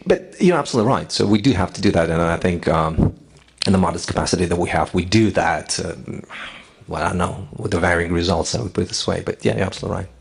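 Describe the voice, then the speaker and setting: A man from Lithuania speaks unscripted on a conference panel. His voice sits very low and gravelly with a husky edge. He hesitates often and restarts his sentences. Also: quick pace. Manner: hesitant, many fillers and restarts. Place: parliament conference hall.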